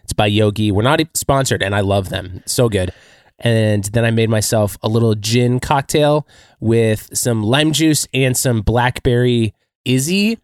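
A man co-hosting a casual roundtable podcast, his voice a little throaty from allergies, playful and quick when explaining. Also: mid-range voice, no room sound, clean studio sound. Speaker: podcast co-host.

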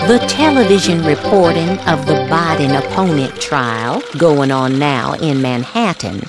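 A woman's voice: deep voice, lively intonation, a little slow, over music. A woman hosting a podcast, reading social media posts aloud in a theatrical, emphatic way with exaggerated inflection.

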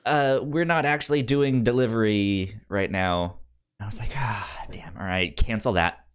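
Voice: medium pitch, a little slow, smooth. A podcast co-host with a stutter, repeating sounds and words while telling an informal story in casual chat and acting out the dialogue.

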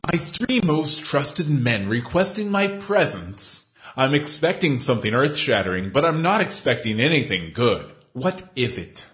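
A menacing, cold-blooded leader, played by a male narrator, speaking in short, clipped orders. His pitch swings widely, yet the tone stays controlled. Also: big pitch swings, a little slow, a bit bright. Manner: clipped, icy, commanding.

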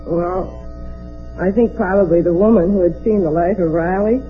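An American man telling anecdotes as a quiz show contestant in a polite, measured way. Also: sits high. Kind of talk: anecdotal interview answers. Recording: dull old radio recording with audience. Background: music and studio audience.

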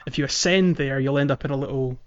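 Halting man, muffled lo-fi podcast sound. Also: mid-range voice, smooth. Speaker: podcast co-host. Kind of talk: casual chat about a video game playthrough.

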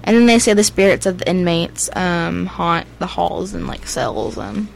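A woman's voice: fairly low pitch, animated, normal speed, vocal fry, slight background noise. Rambling young woman storyteller. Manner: rambling, filler-heavy, giggly. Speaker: podcast co-host. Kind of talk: casual ghost-story chat.

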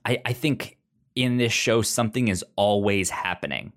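A podcast co-host talking casually through a review, often repeating words haltingly while working out a thought. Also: mid-range voice.

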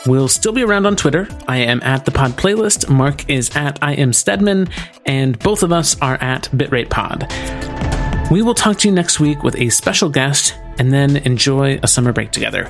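A man with a mid-pitched voice co-hosts a podcast casually. Faint music sits behind him. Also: animated, moderate pace, creaky. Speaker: podcast co-host.

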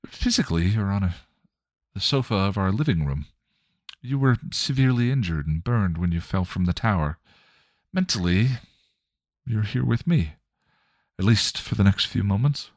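A gentle, motherly female spirit with a soothing way of speaking, as a man reads her out in his deep voice. The recording is close and dry. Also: expressive, normal speed, pauses often, no echo.

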